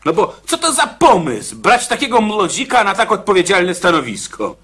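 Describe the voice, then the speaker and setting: A man acting a gruff, irritable prisoner on death row in a Polish radio play, grumbling sarcastically in colloquial speech. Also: high-pitched, huge pitch swings, normal speed, a bit bright, full character voice. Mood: sardonic. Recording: noisy radio play recording with clicks.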